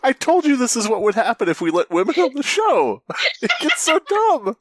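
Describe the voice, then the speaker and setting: Swearing co-host with wild pitch swings. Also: big pitch swings, moderate pace, dry recording, studio quality. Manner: swears often, mock outrage. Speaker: podcast co-host. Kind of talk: casual comedic banter.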